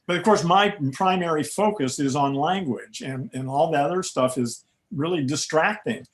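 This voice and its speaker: A man who hosts a podcast, chatting casually on a video call, laughing often and filling in with quick yeahs. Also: a little high. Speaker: podcast host.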